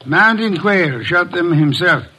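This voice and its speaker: An actor playing a bulky, pompous genius detective who grumbles in formal, wordy speech, in old American radio drama style. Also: male voice, high voice, animated, a little slow, sharp tone, a little hiss or hum, exaggerated voice. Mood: pompous, dry. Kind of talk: 1940s-50s American radio drama acting.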